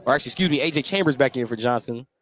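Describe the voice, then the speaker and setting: A man's voice: mid-range voice, racing. A man calling live basketball play-by-play for radio, describing the action in running detail. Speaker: play-by-play sports commentator. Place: courtside broadcast spot in a school gym. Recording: live gym broadcast with background noise.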